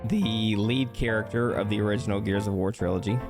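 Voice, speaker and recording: A man with a lowish voice talks over clearly audible music. He sounds like a casual gaming podcast co-host, explaining things in a laid-back way. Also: steady tone, a little fast. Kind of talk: casual chat during a game let's play. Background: music playing behind the voice.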